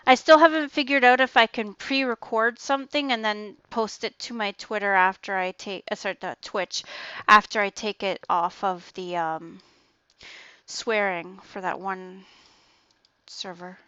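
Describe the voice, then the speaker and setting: A woman's voice: medium pitch, steady tone, a little slow, sharp tone, clean tone, no echo, highs cut off. A woman with an Ontario Canadian accent chatting casually while streaming a game. She laughs often and trails off mid-sentence.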